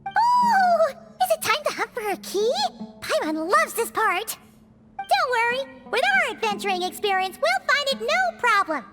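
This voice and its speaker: Game sidekick, a childlike fairy girl: piping high voice, excitable and bubbly, swinging intonation. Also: a little slow, over loud music, heavily acted. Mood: excited. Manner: refers to self in third person, bubbly. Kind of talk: English game dub voice acting.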